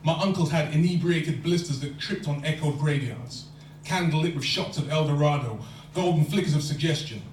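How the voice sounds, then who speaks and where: Poet reciting in an echoey hall. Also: male voice, a little high, normal speed, a little mellow. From London. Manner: rhythmic rhyming delivery. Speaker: spoken word poet. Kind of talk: spoken word poetry performance. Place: lecture hall. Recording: echoey hall from far off, faint hum.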